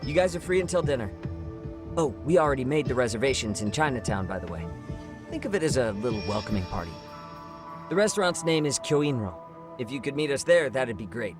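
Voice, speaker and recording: A man's voice: medium pitch, sing-song, brisk, music in the background, lightly acted. A man playing a laid-back, friendly young detective in an English game dub, with a casual, easygoing delivery.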